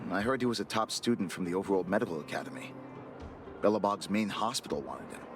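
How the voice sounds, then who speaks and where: Lively-pitched gossipy game townsman, music behind. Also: fast talker. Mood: musing. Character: gossipy, secretive male clinic patient in a sci-fi game. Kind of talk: video game voice acting.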